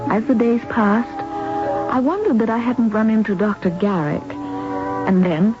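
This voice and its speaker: A woman's voice: medium pitch, lively intonation, normal speed, close to an everyday voice. A woman playing an earnest, caring young rest home worker in a 1970s radio drama, both acting and narrating. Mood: somber narrating. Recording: dull vintage radio recording with music.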